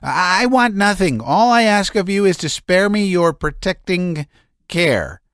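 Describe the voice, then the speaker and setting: Overwrought, stammering young man, voiced by a male reader: high, shrill, swinging pitch. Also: big pitch swings, unhurried, clean tone, dry recording, studio quality, exaggerated voice. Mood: agitated. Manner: shrill, stammering, near-shouting.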